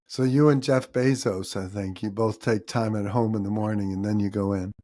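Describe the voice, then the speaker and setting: A man's voice: on the low side, fairly even intonation, moderate pace, dark tone. A man, hosting a podcast and asking questions in a conversational style. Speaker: podcast host, interviewer. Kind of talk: conversational interviewing.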